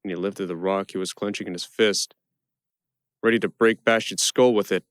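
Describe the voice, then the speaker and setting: A man's voice: on the low side, fairly even intonation, moderate pace, dry recording, studio quality. A man performing wry, theatrical first-person narration in an audio drama. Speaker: actor performing a one-man audio play.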